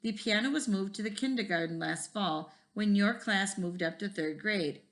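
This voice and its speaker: A woman's voice: medium pitch, normal speed, a bit bright, natural voice. A woman voicing a friendly, easygoing male computer teacher in a storybook, with a folksy, explanatory way of talking. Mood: reminiscing.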